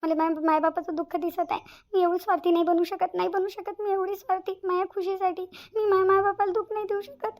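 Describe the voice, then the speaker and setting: A woman's voice: very high voice, level tone, quick pace, darkish tone. A woman with a Varhadi Marathi accent voicing a selfless, earnest young bride-to-be in a cartoon family drama. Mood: distressed, earnest. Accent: Varhadi Marathi from Vidarbha. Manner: earnest, repeats phrases emphatically. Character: anxious, selfless young bride-to-be, tenderly devoted.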